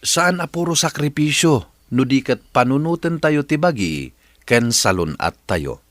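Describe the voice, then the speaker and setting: A man's voice: mid-range voice, big pitch swings, a little slow, fairly bright tone, dry recording. A man with a Filipino accent hosting a radio drama, giving scripted narration and advice in an earnest manner.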